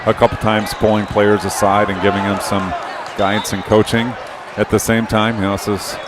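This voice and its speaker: A middle-aged man hosting a courtside broadcast sign-off, joking and rambling as he talks loudly. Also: fairly low pitch, level tone, normal speed. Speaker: broadcast host. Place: loud gym with a crowd. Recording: noisy crowded gym. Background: crowd cheering, balls bouncing.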